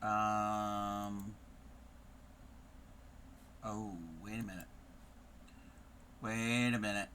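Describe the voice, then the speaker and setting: A hoarse man who is losing his voice, hosting a casual live stream and chatting warmly with viewers by name, with many ums. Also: lowish voice, little pitch movement, drawn-out words, long pauses, a little hiss or hum. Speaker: craft live-streamer host.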